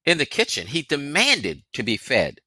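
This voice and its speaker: A man acting out a widow innkeeper from a storybook. She is terse, wary and practical, in a raised, bright voice with lively swings. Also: medium pitch, normal speed, no room sound, voice pushed up, full character voice. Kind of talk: audiobook character voice.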